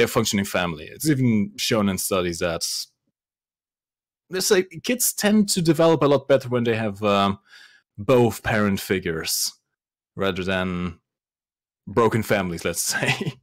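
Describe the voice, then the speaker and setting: A young man from Germany, a native German speaker, answering interview questions thoughtfully, with pauses and restarts. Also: lowish voice. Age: young adult. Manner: thoughtful, hesitant, with frequent fillers and restarts. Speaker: interview guest. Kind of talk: casual interview.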